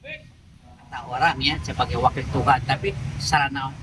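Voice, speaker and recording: A man's voice: mid-range voice, fairly even intonation, moderate pace, distant mic, background noise. A man, a court spokesman giving informal interview answers.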